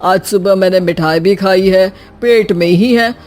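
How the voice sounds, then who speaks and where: A playful elderly father-in-law acted by a woman in a comic storybook tale. The delivery is slow, mild and droll, with a coaxing manner. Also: medium pitch, a little mellow, lightly acted. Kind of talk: Hindi comic family story acting.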